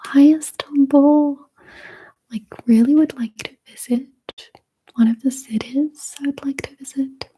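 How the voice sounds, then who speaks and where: A young woman with a Russian accent, whispering softly and hesitantly as she answers viewer questions. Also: on the high side, slightly rough. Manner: soft unvoiced whispering, hesitant with fillers. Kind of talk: whispered ASMR Q&A.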